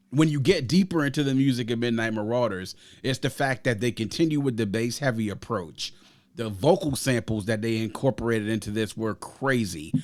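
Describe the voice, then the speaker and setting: A man's voice: mid-range voice, no echo. Emphatic man reviewing music, dry close sound. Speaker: podcast host reviewing music. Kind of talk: conversational music review monologue.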